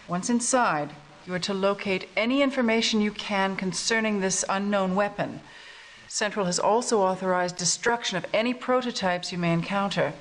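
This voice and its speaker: A woman playing a businesslike resistance commander in a video game cutscene, speaking in a formal, measured way. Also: medium pitch, a bit bright, lightly acted, rough recording. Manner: formal, measured, matter-of-fact. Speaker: military commanding officer.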